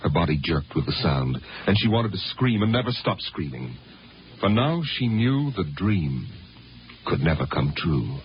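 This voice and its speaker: An actor narrating a 1950s radio drama as a grave, solemn and theatrical storyteller. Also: male voice, deep voice, very animated, a little slow, slight background noise. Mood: solemn, dramatic. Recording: dull 1950s radio recording with loud music.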